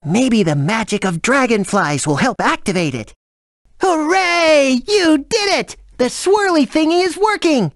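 An excitable, friendly storybook creature from a video game. The voice is on the high side and animated, with theatrical drama, recorded dry. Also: female voice, unhurried, no room sound. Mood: distressed.

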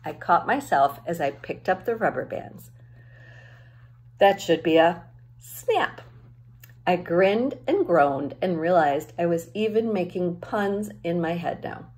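A middle-aged woman reading a children's novel aloud as an expressive storyteller, recorded at home on a handheld-distance device. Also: fairly low pitch, slow pace. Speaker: teacher reading a book aloud. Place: small home room with bookshelves.

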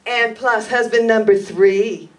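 A woman's voice: fairly high pitch, slow, slight background noise. A woman playing a scheming, flirtatious gangster's wife in a radio noir, sugary and gushing, recorded in a hall. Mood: sly, coaxing. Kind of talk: 1950s radio noir acting. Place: stage in an indoor meeting hall, live reading. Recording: distant mic in a hall.

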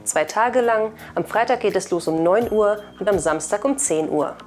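A woman's voice: mid-range voice, normal speed, a little mellow, soft background music. A woman in her thirties with a German accent presents scripted local news as the host of a weekly video bulletin. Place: indoor video studio. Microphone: lapel mic.

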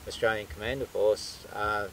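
A man with a somewhat low voice speaks haltingly and often stops to restart. He is a guest in a casual interview on a beach. Wind noise is clearly heard on the outdoor recording. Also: fairly even intonation, fairly bright tone, rough recording. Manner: halting, many fillers and restarts. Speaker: guest who recovered the yacht. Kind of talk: casual interview, telling what happened.

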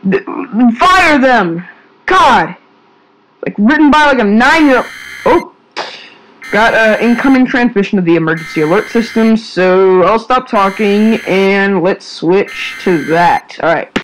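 An exasperated music radio DJ, acted by a man in a radio drama. The voice is high for a man and halting, with casual patter over faint noise. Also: expressive, fairly bright tone, low-quality recording. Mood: hesitant. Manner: halting, flustered.

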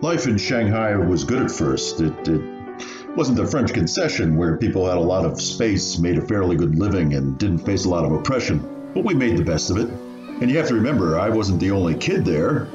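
A man's voice: low voice, expressive, fairly quick. An actor playing an old man who reminisces about his refugee childhood in a radio play, telling it like a story. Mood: nostalgic. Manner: storytelling, hesitant while remembering. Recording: muffled, roomy, music underneath.

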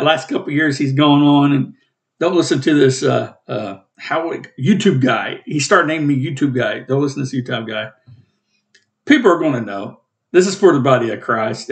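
Rambling man in his fifties, husky throat. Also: on the high side. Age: 50s. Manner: rambling, plainspoken, self-corrects. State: coughing, rough throat. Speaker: Bible teacher and channel host. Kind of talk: casual home Bible study talk. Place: small room at home, indoors.

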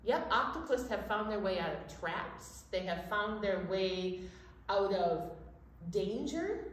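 A woman chatting in an animated way as a children's storytime presenter, speaking directly to young listeners. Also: mid-range voice, slow. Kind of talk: casual children's storytime chat. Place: library children's room. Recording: very echoey room, distant mic, faint noise.

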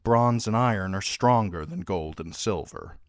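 A man's voice: on the low side, a little slow, no room sound, clean studio sound, close to an everyday voice. A man voicing a young king who speaks firmly and gives commands. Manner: firm, commanding.

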